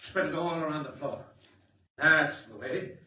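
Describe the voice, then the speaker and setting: An actor playing a ruthless, smooth-talking criminal in a 1940s radio drama, giving clipped, coolly menacing commands. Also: male voice, fairly high pitch, measured pace, far from the mic, very noisy, natural voice. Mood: scheming. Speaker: villain Bart. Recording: dull old radio recording with roomy echo from off-mic.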